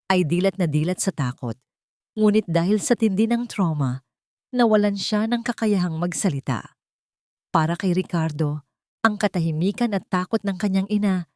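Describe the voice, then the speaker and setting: A woman's voice: mid-range voice, a little mellow, dry recording, clean studio sound. A woman narrating a scripted audio drama in a dramatic storytelling style.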